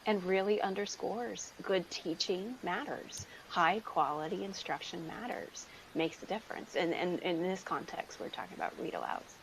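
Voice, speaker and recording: A woman's voice: on the low side, normal speed, creaky, few pauses, a little hiss or hum, poor audio. A woman researcher explaining findings as an interview guest, repeating phrases for emphasis.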